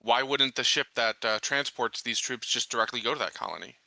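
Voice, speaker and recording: Man playing a bright-voiced, skeptical security officer. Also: mid-range voice, fairly quick, gravelly, no room sound. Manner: questioning, blunt, hesitant phrasing. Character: skeptical, plain-spoken male colony security officer. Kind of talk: improvised tabletop roleplay dialogue.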